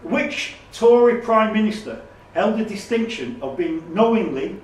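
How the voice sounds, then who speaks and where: High-voiced male quizmaster in an echoey room. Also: animated, unhurried. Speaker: quizmaster reading questions. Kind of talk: reading quiz questions aloud. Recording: echoey room, distant mic, faint noise.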